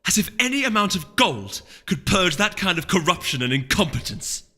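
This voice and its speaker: Man acting out a haughty pony duchess, raised pitch, forceful, frustrated, storybook, long reverb. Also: big pitch swings, moderate pace, breathy edge, exaggerated voice. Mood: exasperated. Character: imperious, frustrated noble mare duchess. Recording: long reverb, music underneath.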